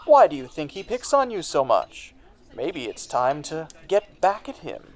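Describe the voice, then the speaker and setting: A sinister radio pitchman acted by a man with wildly animated intonation. He sells and taunts in the same sly, insinuating tone, like an advertisement turned menacing in an audio play. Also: unhurried. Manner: salesman-like, insinuating. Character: sinister radio announcer voice, slyly taunting and tempting. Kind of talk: radio advertisement parody acting.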